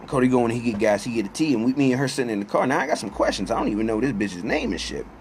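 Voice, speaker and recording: A man in his thirties with a mid-pitched voice tells a personal story in loose, profane slang. He talks conversationally and slips bits of quoted dialogue into the telling. There is noticeable ambient noise behind him. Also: sing-song, racing, a little mellow. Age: 30s. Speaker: storyteller and host. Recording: clear background noise.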